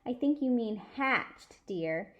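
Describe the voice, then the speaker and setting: A woman's voice: on the high side, lively intonation, slow pace, lo-fi sound. A woman voicing a weary grandmother in a children's storybook, dry and sarcastic in manner. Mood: correcting.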